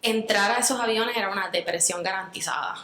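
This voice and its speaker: A woman's voice: medium pitch, fast, a bit bright, roomy sound, slight background noise. A Puerto Rican woman speaking earnestly in an interview, reflecting at length with fillers and restarts. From Puerto Rico. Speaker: guest. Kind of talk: interview conversation.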